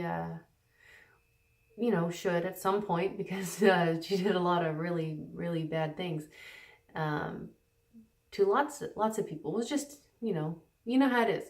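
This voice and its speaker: Woman, low voice, rambling casual vlog, lots of fillers, hushed at times, echoey stairwell, mic set back. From Canada. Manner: rambling, many fillers and restarts, partly hushed. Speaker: recovery vlogger. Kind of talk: casual unscripted talk to camera. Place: on the stairs at home, indoors. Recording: echoey, mic some distance away.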